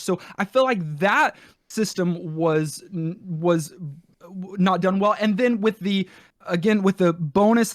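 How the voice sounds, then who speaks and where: A man co-hosting a casual gaming podcast, explaining his points in a halting, rambling way with self-corrections. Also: high-pitched, dry recording, studio quality. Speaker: podcast co-host. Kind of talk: casual retro gaming discussion.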